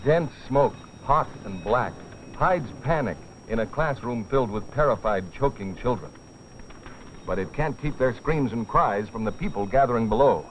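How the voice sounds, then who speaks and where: A man's voice: mid-range voice, animated, moderate pace, a little hiss or hum. A man narrating a safety training film as a dramatic scripted story, in a grave, urgent, theatrical manner. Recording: dull vintage film soundtrack with music.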